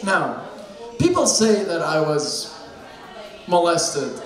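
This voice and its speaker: A young man doing a deadpan stand-up set with dark jokes on a bar stage, talking into a handheld mic. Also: fairly high pitch, takes it slowly. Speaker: stand-up comedian. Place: small bar stage with a live crowd. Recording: echoey room with loud crowd.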